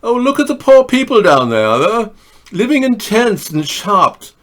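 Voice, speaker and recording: A man's voice: very animated, unhurried, a bit bright, exaggerated voice. A man voicing a snooty rich hotel guest in an exaggerated put-on British accent. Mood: mock-horrified. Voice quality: exaggerated high affected voice.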